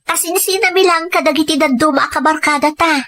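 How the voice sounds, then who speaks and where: A woman's voice: sits high, a little fast, fairly bright tone, no echo. A woman playing an eager, persuasive young female student in an Ilocano audio drama.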